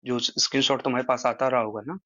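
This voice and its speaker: Fast-talking man on a muffled phone call. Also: mid-range voice, little pitch movement, pushed and bright, no echo. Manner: earnest, explaining at length, rising emphasis. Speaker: social media creator on a phone call. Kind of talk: casual phone conversation.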